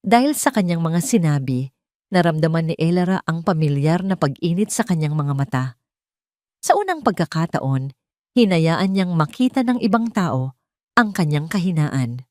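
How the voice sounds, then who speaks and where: A woman's voice: sits low, sing-song, normal speed, a little mellow, no room sound, studio quality. A woman with a Filipino accent narrating a romance audio story in a scripted, dramatic storytelling style.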